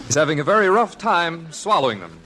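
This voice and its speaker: A man's voice: fairly high pitch, big pitch swings, fairly quick, sharp tone, a faint crowd, a little hiss or hum. A man as announcer, narrating a scripted 1950s radio show on an old broadcast recording.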